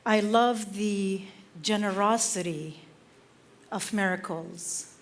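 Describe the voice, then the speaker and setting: A woman from Lebanon giving an inspirational stage talk, slow and measured with dramatic pauses between phrases. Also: mid-range voice, slight background noise. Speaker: speaker. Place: theater stage.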